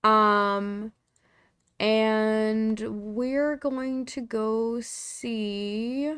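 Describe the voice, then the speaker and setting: A woman streamer chatting casually and rambling with lots of fillers, tired and with her voice going hoarse. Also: a little high, level tone, drawn-out words, a bit bright. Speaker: craft streamer. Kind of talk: casual livestream chat.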